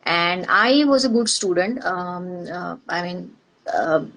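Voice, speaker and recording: A middle-aged woman from Maharashtra, India, whose first language is Marathi, speaking as a guest and pausing often as she reflects on her past. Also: lowish voice, expressive, a bit bright, low-quality recording. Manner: hesitant, many pauses and fillers. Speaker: guest, organizational psychologist. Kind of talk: reflective personal interview.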